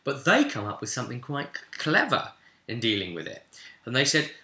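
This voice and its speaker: A man's voice: mid-range voice, big pitch swings, a little fast, pushed and bright. A man, a game master, recounting a tabletop roleplay session in a rambling, emphatic, casual storytelling way and acting out the odd remark. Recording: echoey, reverberant room.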